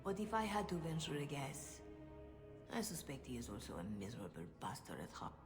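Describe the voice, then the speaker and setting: A woman playing a grave, principled female cleric in a video game, speaking in a formal, measured, diplomatic way. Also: a bass voice, sing-song, over music. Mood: measured, wry. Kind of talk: dramatic video game dialogue.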